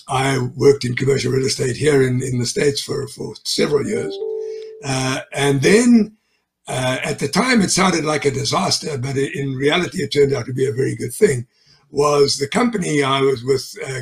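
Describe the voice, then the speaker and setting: Gravelly older South African-born man. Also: medium pitch. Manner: chatty, rambling, chuckles often, many fillers. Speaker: guest, mortgage business owner. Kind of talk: casual interview chat.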